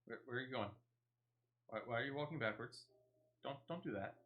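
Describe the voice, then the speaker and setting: A man's voice: mid-range voice, a little fast, phone-quality sound. A man giving casual gameplay commentary as a streamer, muttering his play-by-play as if talking to himself. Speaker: gaming streamer.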